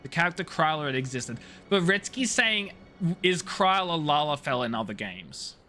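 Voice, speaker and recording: A man's voice: fairly high pitch, sing-song, normal speed, pushed and bright, soft background music. A man live streaming a video game, talking casually and jokily in slang with playful mock outrage. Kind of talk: casual chatty game commentary.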